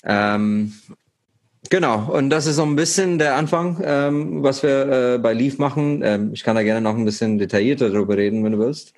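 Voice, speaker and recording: A man in his thirties from India, a startup founder talking as a podcast guest with many pauses and fillers. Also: mid-range voice, a little mellow. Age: 30s. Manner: hesitant, many fillers and restarts. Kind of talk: podcast interview.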